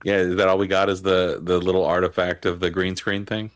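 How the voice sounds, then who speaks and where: A man with a very low, flat voice. He drifts through casual anecdotes full of "like" and drops dry, cutting remarks at his opponents. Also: fairly quick, clear, resonant tone. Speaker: panelist. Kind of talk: casual debate chat.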